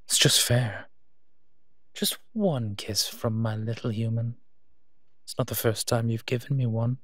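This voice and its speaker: A man playing a gentle, teasing monster who protects children, speaking softly in an intimate audio roleplay addressed to the listener. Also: medium pitch, steady tone, dry recording, studio quality, natural voice. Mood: playful, teasing. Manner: soft-spoken, unhurried, playfully teasing.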